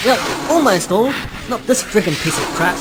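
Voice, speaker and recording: A woman's voice: mid-range voice, expressive, normal speed, loud music behind the voice, natural voice, low-quality recording. A woman playing an eager, bossy young graduate space pilot in a science fiction audio drama, exclaiming and scolding. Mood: panicked, exasperated.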